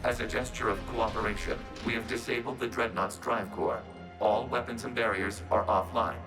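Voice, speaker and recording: An actor voicing a calm synthetic robot in a video game, formal and measured, speaking of itself as a collective. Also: male voice, lowish voice, over loud music, lightly acted. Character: calm male-voiced synthetic Geth robot, formal and logical.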